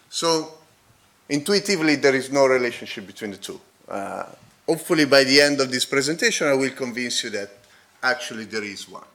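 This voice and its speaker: A man with a non-native accent presenting an academic paper at a conference. He speaks haltingly, with fillers and restarts, and checks in with the audience using tags like "okay?". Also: fairly high pitch, bright, forceful tone. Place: conference hall.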